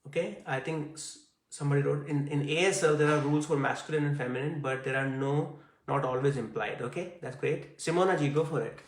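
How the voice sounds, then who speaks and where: Man, slightly high voice, online teacher, chatty and filler-heavy, roomy and off-mic. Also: brisk, recorded from a distance. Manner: conversational, frequent fillers and "I see". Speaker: Hindi teacher.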